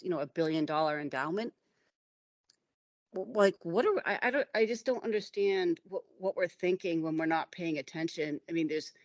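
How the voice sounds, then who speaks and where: A middle-aged woman hosting a conversation, with a fairly low voice and a dry, close sound. She talks in an emphatic, opinionated way and rambles from point to point. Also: no echo, highs cut off. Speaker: host. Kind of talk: casual conversation.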